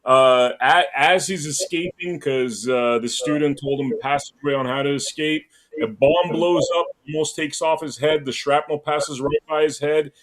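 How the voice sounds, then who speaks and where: A man's voice: fairly high pitch, fairly even intonation, moderate pace, fairly bright tone, poor audio. A man from Los Angeles hosting a podcast interview in a casual chatty way, often saying "man" and "like". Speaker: podcast host.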